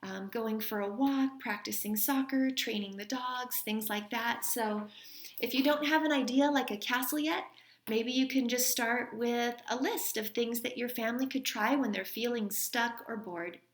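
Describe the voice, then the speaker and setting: A woman reading a children's storybook aloud in an expressive manner, like a teacher. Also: on the high side, unhurried, roomy sound.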